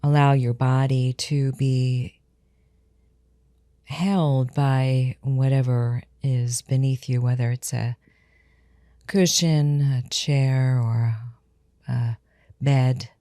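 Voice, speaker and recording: Woman doing guided meditation, really low mellow voice, soothing and gentle. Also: fairly even intonation, dry recording, clean studio sound. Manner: soothing, unhurried, gentle instruction.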